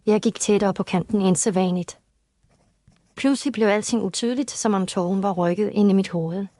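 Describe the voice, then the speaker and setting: Female, medium pitch, dry sound, actor as young injured heiress in an audio mystery, careful, uneasy, formal. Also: dark tone, dry recording, close to an everyday voice. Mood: uneasy, recollecting. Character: injured young heiress, uneasy but clear-headed witness. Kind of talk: scripted audio story dialogue.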